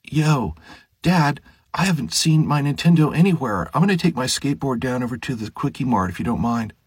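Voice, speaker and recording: A man's voice: medium pitch, animated, fairly quick, slightly rough, no room sound, studio quality, voice pushed up. A man voicing a breezy, slangy teenage skateboarder boy for an audiobook.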